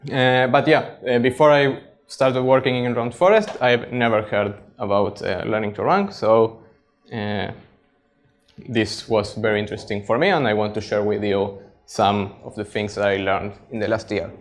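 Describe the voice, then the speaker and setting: Argentine-accented man giving a halting tech talk. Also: on the low side, steady tone. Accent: Argentine Spanish. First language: Spanish. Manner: halting, frequent pauses, plain explanatory. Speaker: conference speaker, data scientist. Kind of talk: technical meetup presentation. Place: meetup stage before an audience.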